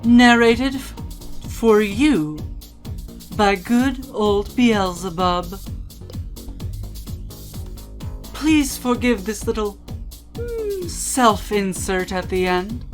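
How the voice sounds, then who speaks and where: A woman voicing a playful, theatrical demon queen of flies in a dramatic reading, with grand, drawn-out phrasing. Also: medium pitch, very animated, faint music behind. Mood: coyly theatrical. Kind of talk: dramatic reading of game dialogue.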